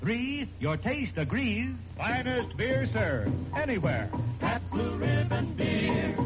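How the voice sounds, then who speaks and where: A man's voice: very high voice, sing-song, takes it slowly. A man delivering a 1950s radio jingle advertisement. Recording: muffled old radio ad, loud music.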